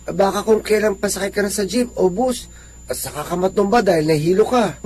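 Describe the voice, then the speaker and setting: A man's voice: high voice, brisk, darkish tone, breathy edge. A man playing a caring, worried young son in a religious radio drama, speaking in a concerned, questioning manner.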